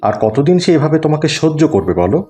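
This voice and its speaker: Mellow, preachy pious neighbourhood uncle played by a man. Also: on the high side, lively intonation, fast talker, natural voice. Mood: earnest admonishing. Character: kindly pious older neighbourhood man, patiently advising. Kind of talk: Islamic cartoon dialogue.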